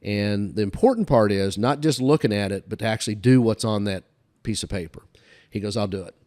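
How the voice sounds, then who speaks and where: An older man with a fairly low voice tells stories as an interview guest. His delivery is casual and folksy, and he likes to recount who said what. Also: brisk, darkish tone. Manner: folksy, recounts dialogue back and forth. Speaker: podcast guest telling career stories. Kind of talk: casual anecdotal storytelling.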